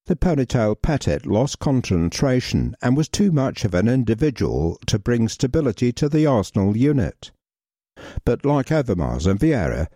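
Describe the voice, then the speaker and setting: A man reading a football history article aloud in a measured, even way. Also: medium pitch, no room sound, studio quality. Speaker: reader.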